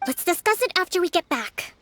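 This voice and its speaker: A woman playing a shrewd, composed young leader in a video game, speaking in a polite, measured and formal way. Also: very high-pitched, sing-song, fairly bright tone, soft background music, heavily acted. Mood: gently firm.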